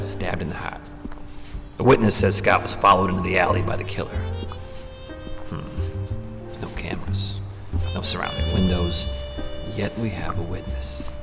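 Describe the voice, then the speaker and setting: Low-voiced hard-boiled noir detective. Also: male voice, gravelly, full character voice. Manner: terse, sardonic tough-guy slang. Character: hard-boiled, weary noir male private eye. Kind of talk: noir radio play narration and dialogue. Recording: music bed under voice, amateur audio.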